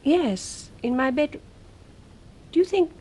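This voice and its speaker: An actress playing a breezy, teasing English society wife in a radio drama. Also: female voice, sits high, animated, takes it slowly, a little mellow. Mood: worried, confiding. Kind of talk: BBC radio drama acting.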